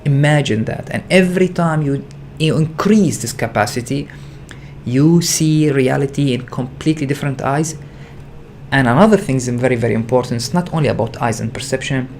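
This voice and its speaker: A man's voice: mid-range voice, normal speed, darkish tone, a little hiss or hum. A man hosting a livestream as a spiritual teacher, talking unscripted in an earnest way, repeating and rephrasing his ideas with frequent hesitations. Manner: earnest, repetitive, searching phrasing with frequent hesitations.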